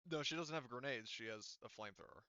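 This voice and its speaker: A man running a tabletop game casually as game master, halting, often muttering to himself and swearing lightly. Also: medium pitch, sharp tone, dull audio.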